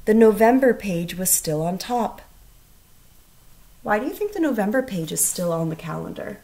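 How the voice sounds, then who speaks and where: A woman teacher reading a storybook aloud to children, expressive and instructional, asking questions as she goes. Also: medium pitch, normal speed, gravelly. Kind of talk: storybook read-aloud with questions to young listeners.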